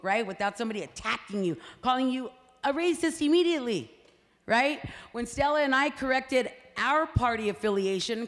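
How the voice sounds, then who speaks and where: Woman speaking in an echoey hall. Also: fairly high pitch. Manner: conversational, frequent fillers and "right?" tags. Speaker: party representative speaking at a community meeting. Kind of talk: public speech to a crowd. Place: community meeting hall. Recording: hall reverb from a meeting room.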